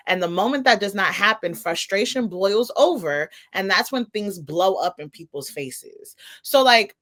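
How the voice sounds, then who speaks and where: A woman's voice: mid-range voice, lively intonation, measured pace, a bit bright. A woman co-hosting a talk podcast, giving casual, opinionated entertainment commentary in informal, slangy, emphatic phrasing. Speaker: podcast co-host.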